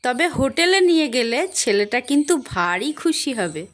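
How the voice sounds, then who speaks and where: A woman's voice: high-pitched, sing-song, moderate pace. A woman voicing a practical, caring and slightly fretful village wife and mother in a cartoon. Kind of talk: cartoon dialogue. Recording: long reverb on voice.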